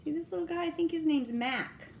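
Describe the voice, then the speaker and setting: A woman reading a children's storybook aloud as a grandmother, expressively, pausing to ask the young listener simple questions. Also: very high voice, creaky, noisy background.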